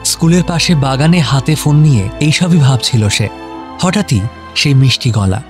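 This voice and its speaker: A man's voice: fairly high pitch, lively intonation, normal speed, music in the background. A man narrating a scripted romantic audio story.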